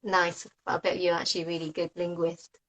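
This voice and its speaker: A woman's voice: fairly low pitch, level tone, a bit bright. A Japanese woman in her thirties, a native Japanese speaker and oboist, hosting a casual online interview in a friendly way with frequent laughter. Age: 30s. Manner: friendly, laughs often, quick to praise. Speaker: host, oboist. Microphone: video call.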